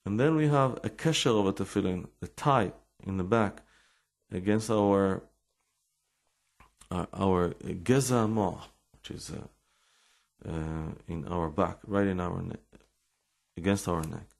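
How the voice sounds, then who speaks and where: A middle-aged Israeli man with an accent, telling his life story in halting English full of restarts and fillers. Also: deep voice, creaky. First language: Hebrew. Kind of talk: personal storytelling and explanation.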